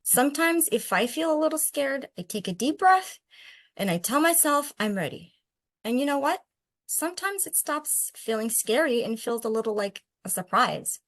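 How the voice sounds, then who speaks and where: Lady doing a kind reassuring dad in a picture book, gentle, voice a bit higher. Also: lively intonation, moderate pace, no echo. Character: gentle, reassuring father of a young boy. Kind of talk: storybook read-aloud.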